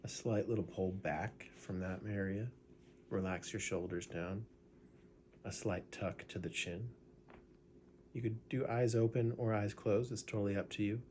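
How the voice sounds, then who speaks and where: Man, low voice, easygoing meditation guide, conversational, faint background noise. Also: unhurried. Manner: relaxed, conversational, with frequent "right?" and filler words.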